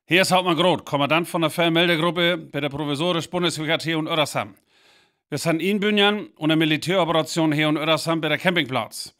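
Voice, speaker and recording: An actor playing a confident army captain reporting from the field in a radio drama. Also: male voice, on the high side, quick pace, fairly bright tone, natural voice. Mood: confident, brisk.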